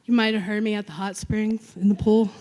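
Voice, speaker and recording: A woman introducing a speaker at a youth awards ceremony. She talks loudly and chats easily, joking about herself between her prepared remarks. Her pitch sits in the middle for a woman. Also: steady tone, brisk, poor audio. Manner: loud, chatty, self-joking. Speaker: club director introducing a speaker. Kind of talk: event emcee introduction.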